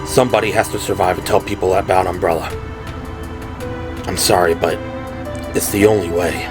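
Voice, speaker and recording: A man voicing a steady, protective young officer in an audio drama, firm but reassuring, with music behind. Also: moderate pace, lightly acted. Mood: grave, firm. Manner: low-key, firm, reassuring. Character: protective, steady young male police officer giving orders.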